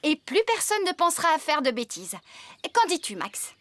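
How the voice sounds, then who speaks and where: A woman's voice: high voice, big pitch swings, normal speed, pushed and bright, full character voice. A woman playing a kind, cheerful young farmer in a children's cartoon, with a caring, reassuring manner.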